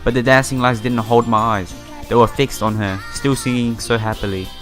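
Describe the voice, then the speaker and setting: A man's voice: medium pitch, fairly even intonation, moderate pace, fairly bright tone. A man doing a playthrough of a visual novel, reading the text aloud with casual commentary and stumbling over some words. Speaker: let's player. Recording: background music behind the voice. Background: game music.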